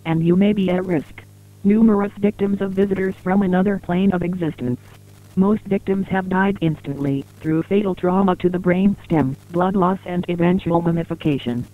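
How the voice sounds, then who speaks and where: A grave male emergency broadcaster played by an actor speaks in a high voice through a thin, radio-like channel. His announcing is formal and measured, in the manner of an analog horror warning bulletin. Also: fairly even intonation, natural voice. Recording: tinny, narrow radio-like broadcast sound.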